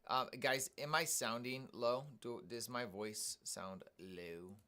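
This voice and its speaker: A man hosting a live day trading stream, calmly commenting on his trades and greeting viewers in the chat. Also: mid-range voice, slow. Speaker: day trading livestream host.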